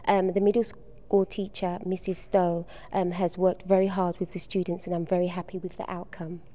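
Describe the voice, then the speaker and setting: A middle-aged woman from the UK, soft-spoken and hesitant with frequent ums, answering questions as an art exhibition organizer at a school event. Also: fairly low pitch, level tone, normal speed. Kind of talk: on-location TV interview.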